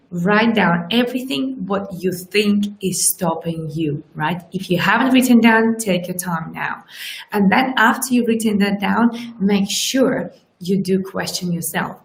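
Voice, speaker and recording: A woman in her forties whose first language is Russian, speaking as a life coach in a lively live-streamed motivational talk and often checking in with "right?". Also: mid-range voice. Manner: lively, animated, keeps asking "right?". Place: small indoor room with curtains. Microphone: webcam at desk distance. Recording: roomy, echoey webcam audio.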